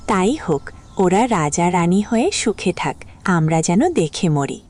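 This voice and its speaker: A woman's voice: lowish voice, sing-song, unhurried, a little mellow. An actress playing a shrewd, practical mother of the groom with a wry tone.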